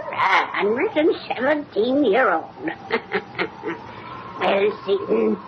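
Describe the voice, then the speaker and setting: A woman playing a gleefully sinister, cackling 117-year-old witch who tells tales in rustic dialect, in old radio horror style. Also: on the high side. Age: older. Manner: rustic old-fashioned dialect, relishing the macabre. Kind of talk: 1930s radio horror acting. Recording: noisy, muffled old radio recording.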